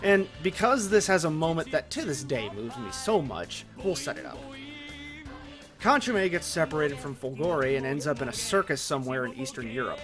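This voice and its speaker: A man narrates a scripted video essay in a slightly high voice. He is opinionated and drops conversational asides, with faint music underneath. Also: sing-song, normal speed.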